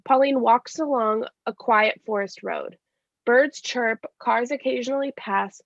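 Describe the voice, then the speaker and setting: A woman's voice: on the high side, a little slow, creaky, no room sound. A woman reading scripted stage directions in a live staged reading of a play. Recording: dull lo-fi sound.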